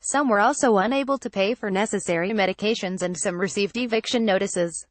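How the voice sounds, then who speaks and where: A woman narrates a news article from a script. Her delivery is formal and literal, at a middle pitch, with a very dry, close sound free of room echo. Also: brisk. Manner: reads formally, word for word.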